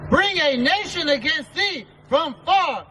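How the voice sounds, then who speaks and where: A man reading Bible verses aloud at a street sermon, slowly announcing book and verse. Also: very high-pitched, lively intonation, background noise. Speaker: scripture reader. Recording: off-mic with a crowd behind.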